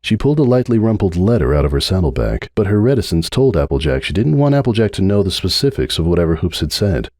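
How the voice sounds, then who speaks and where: A man's voice: low voice, very animated, quick pace, few pauses, darkish tone, no echo. A man reading a story aloud as a narrator in a dramatic audiobook style, close on the mic.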